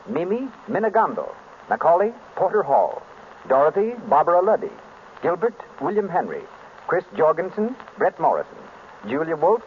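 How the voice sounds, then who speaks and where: An American man reading scripted announcements in the formal, measured style of 1930s radio. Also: high voice. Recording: tinny, noisy 1930s radio broadcast.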